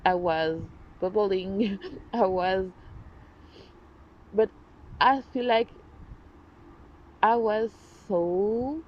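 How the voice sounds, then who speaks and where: A woman's voice: medium pitch, extremely slow, pauses often. A woman with a French accent hosting her own podcast, talking earnestly and animatedly in a casual solo style, often restarting and correcting herself. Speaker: podcast host. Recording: dull lo-fi sound, faint noise.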